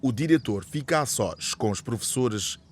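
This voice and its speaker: A man's voice: mid-range voice, lively intonation, moderate pace. A man narrating a scripted radio drama. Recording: faint background noise.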